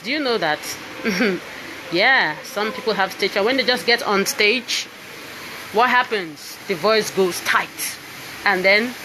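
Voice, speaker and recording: A woman with a Nigerian accent and a mid-pitched voice talks to viewers, chatty and encouraging, often asking "all right?" to check they follow. The noisy live-stream sound carries a steady background hiss. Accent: Nigerian English. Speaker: singer and voice coach presenting her book. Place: indoors with piano and laptop.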